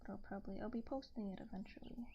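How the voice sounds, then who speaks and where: A woman streamer speaking in a soft, breathy, often whispered ASMR style, gently murmuring and chatting casually while she works, close to a binaural microphone. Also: medium pitch, rapid-fire, lo-fi sound. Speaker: streamer and artist. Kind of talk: soft-spoken ASMR, casual livestream chat while drawing.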